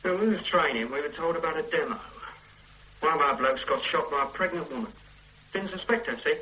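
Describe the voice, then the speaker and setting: An actor playing a blunt, unsentimental ex-soldier son in a radio drama, offhand and dismissive. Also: male voice, on the high side, a little fast, pushed and bright, low-quality recording. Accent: Northern English. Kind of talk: 1970s radio drama acting.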